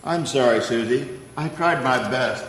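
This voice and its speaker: An actor playing a kindly, grandfatherly old Santa Claus in a radio play, gently fussy in the way he talks. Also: medium pitch, unhurried, echoey room, big-room sound, natural voice, poor audio.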